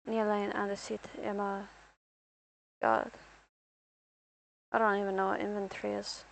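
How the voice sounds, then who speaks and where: A woman's voice: mid-range voice, little pitch movement, measured pace, vocal fry, poor audio. A young woman from Ireland rambling through casual gameplay commentary as a streamer, self-deprecating and talking to herself.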